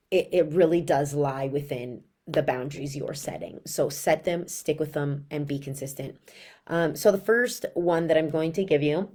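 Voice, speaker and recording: A woman in her thirties who is losing her voice, so she sounds raspy and hoarse. She speaks low and mellow, chatting frankly to camera as a sleep consultant with parenting tips and lots of asides.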